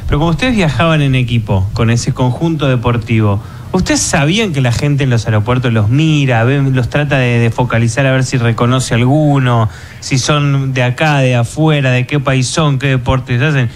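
Man, Argentine accent, radio host, studio mic, conversational, medium pitch, faint noise. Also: moderate pace. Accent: Argentine Spanish. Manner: conversational, asks long questions. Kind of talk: radio interview. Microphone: studio microphone.

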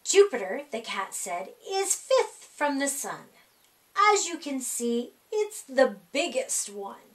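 A middle-aged woman reading a rhyming children's picture book aloud in an animated, sing-song, deliberate way for young kids. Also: high voice. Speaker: library storytime reader. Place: small indoor room.

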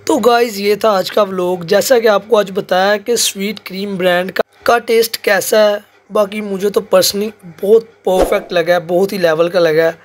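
A man's voice: sits high, steady tone, moderate pace, hardly any pauses. A man, chatting casually for a vlog on a phone outdoors. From Pakistan. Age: 20s. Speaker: vlogger. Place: outdoors on city streets at night. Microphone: phone at arm's length. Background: music.

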